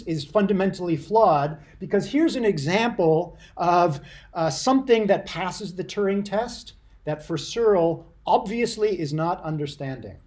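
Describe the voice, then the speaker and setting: A man with a notably high voice for a male speaker gives a university lecture. He explains things deliberately, repeats points for emphasis and puts questions to his class. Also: darkish tone.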